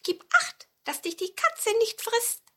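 A woman's voice: very high-pitched, sing-song, slow pace, bright, forceful tone, pitched above the performer's own voice, heavily acted. A woman voicing a small, cautioning female mouse in a storybook, with a singsong delivery. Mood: warning.

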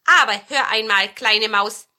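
A woman's voice: on the high side, a little slow, bright, forceful tone. A woman voicing a kindly old male broom in a storybook, speaking earnestly and with emphasis. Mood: earnest, asking.

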